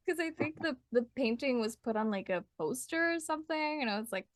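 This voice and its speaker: A woman's voice: high-pitched, lively intonation, fairly quick, no room sound, clean studio sound. A young adult woman hosting a podcast interview, chatty and bubbly, laughing often. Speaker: podcast host. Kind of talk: casual interview chat.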